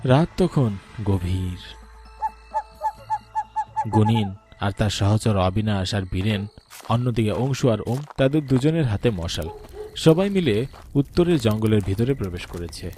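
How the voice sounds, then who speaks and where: A man's voice: on the low side, lively intonation, measured pace, pauses often, over music. A man reading a scripted horror story as narrator, dramatic and suspenseful.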